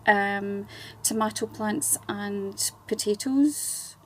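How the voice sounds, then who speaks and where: A woman's voice: mid-range voice, very slow, a bit bright, slight background noise. A Scottish woman in her forties, a charity volunteer chatting as a guest on a radio interview, with many ums and restarts. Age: 40s.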